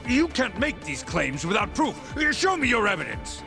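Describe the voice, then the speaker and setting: An indignant, overbearing man of the court from a game drama. His voice is high and creaky, over loud music. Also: huge pitch swings, normal speed, exaggerated voice. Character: indignant, imperious male courtroom opponent. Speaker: voice actor in English game dub. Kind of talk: dubbed video game courtroom acting.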